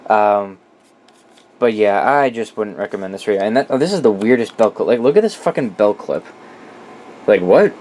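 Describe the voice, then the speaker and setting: Man, lower-pitched, informal hobbyist walkthrough, blunt opinions and swearing, faint noise behind. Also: lively intonation, normal speed, darkish tone. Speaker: radio collector presenting a collection. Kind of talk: casual unscripted product walkthrough.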